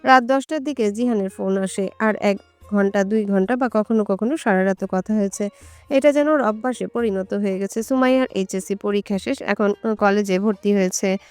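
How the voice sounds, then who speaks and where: A woman narrates a scripted romance. Her voice is smooth and medium-pitched, recorded dry and close. Faint music plays behind her. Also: moderate pace, no echo. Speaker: storyteller.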